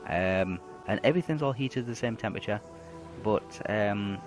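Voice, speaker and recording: Deep-voiced man running a tabletop game. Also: animated, music in the background. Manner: halting, lots of ums and repeated words. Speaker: game master. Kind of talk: narrating a tabletop game, describing scenes.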